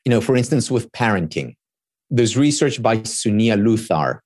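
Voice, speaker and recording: A low-pitched man whose first language is Hebrew, talking as an interview guest. He explains in an earnest way, builds his points with examples and circles back on words while he thinks. The sound is dry and close. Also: expressive, normal speed, darkish tone, no room sound. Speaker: guest expert. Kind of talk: thoughtful interview talk.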